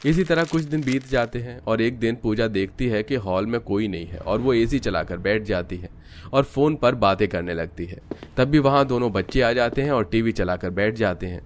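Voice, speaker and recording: Low-voiced man narrating a story. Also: moderate pace, a little hiss or hum. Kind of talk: scripted Hindi story narration.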